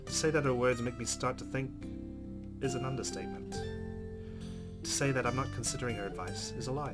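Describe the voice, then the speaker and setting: A male let's player reads a visual novel's narration aloud in a mid-pitched voice. His reading is measured and expressive, mixed with casual asides. Plucked guitar game music plays clearly behind him. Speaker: let's play host.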